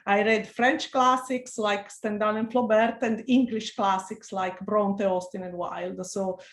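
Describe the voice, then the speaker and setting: A woman's voice: medium pitch, steady tone, measured pace, reverberant. A middle-aged woman with an Italian accent, a researcher being interviewed, speaking earnestly with many hesitant restarts and fillers. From Italy. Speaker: liver researcher, interview guest. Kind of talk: video call interview.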